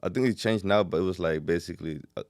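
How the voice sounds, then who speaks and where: A man in his thirties from Kenya, answering interview questions in a relaxed, casual way with short replies. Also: sits low, fast talker, no room sound, clean studio sound. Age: 30s. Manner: relaxed, short answers with "you know" and "yeah". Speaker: podcast guest.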